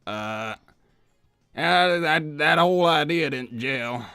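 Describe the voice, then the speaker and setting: A man's voice: fairly high pitch, animated, slow pace, pushed and bright, smooth, dry recording, clean studio sound, faint music behind, exaggerated voice. A man voicing an old hedgehog uncle in a cartoon interview, hesitant and evasive, stammering and repeating himself as he rambles. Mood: wistful, evasive. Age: older. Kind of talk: improvised in-character interview.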